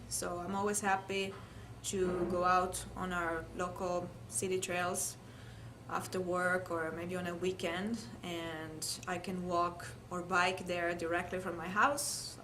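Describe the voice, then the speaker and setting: A woman from Italy with an Italian accent, thoughtfully recalling her life in an interview. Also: fairly low pitch. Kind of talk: oral history interview, personal recollection. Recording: clear background noise, room ambience.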